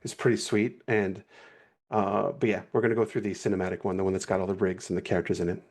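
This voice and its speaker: A man's voice: sits low, fast. A man talking casually on a livestream, often stopping to correct himself as he walks through a project. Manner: relaxed, rambling, self-correcting. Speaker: guest animator.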